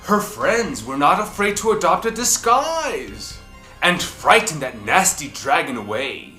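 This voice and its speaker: A woman hosting a children's book club, reading aloud expressively with theatrical, deliberate emphasis. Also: deep voice, very animated, sharp tone. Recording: roomy echo, faint music behind.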